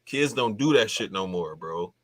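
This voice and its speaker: A man in his thirties with a very deep, low voice talks in a relaxed, slangy way. He sounds like a podcast host shooting the breeze, dropping "bro" into nearly every thought. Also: normal speed. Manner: laid-back slangy talk, says "bro" often. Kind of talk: casual chat.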